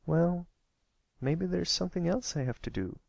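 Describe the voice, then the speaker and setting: Male reader as young colt pony, shy, stammering, raised pitch, storybook character. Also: animated, moderate pace, creaky, darkish tone, no room sound. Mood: hesitant. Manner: hesitant, stammering.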